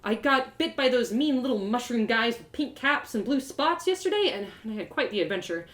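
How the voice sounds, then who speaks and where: Woman voicing an excitable, high-pitched, desperate young heroine. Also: brisk. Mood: insistent. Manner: stammering, urgent, repeats words. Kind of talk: storybook character voice in a live reading.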